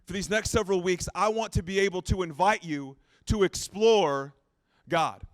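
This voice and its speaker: Pastor, late 30s, high clear tenor, dry close mic, chatty and self-deprecating. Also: male voice, normal speed, no echo. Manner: conversational, self-deprecating, repeats phrases. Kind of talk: sermon.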